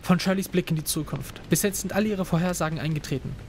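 A man's voice: fairly high pitch, fast talker, lightly acted. A man playing a keen young student in a ghost town. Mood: determined. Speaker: voice actor in a fan audio drama. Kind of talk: amateur audio drama acting. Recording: ambient noise bed behind voice.

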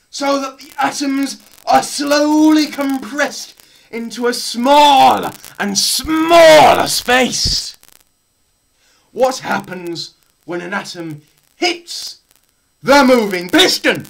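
Slow, theatrical young man with swooping pitch. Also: lively intonation, vocal fry, rough recording. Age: teens to young adult. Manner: theatrical, emphatic, with deliberate pauses. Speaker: presenter. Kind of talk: dramatised physics lecture read from a book. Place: small bare room indoors. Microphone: camera a short distance away.

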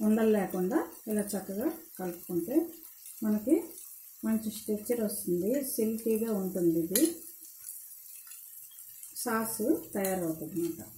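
An older woman giving homely, unhurried step-by-step instructions at her kitchen stove. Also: mid-range voice, mellow. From India. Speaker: home cook. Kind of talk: cooking demonstration voice-over. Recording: echoey kitchen with sizzling pan. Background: frying and sizzling.

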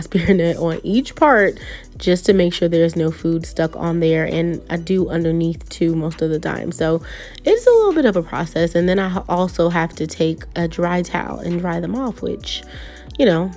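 A young woman talks through her kitchen cleaning in a relaxed, chatty way, laughing lightly now and then. Her voice sits a little low for a woman. Faint music plays underneath. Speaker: vlogger. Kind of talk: casual clean-with-me voice-over.

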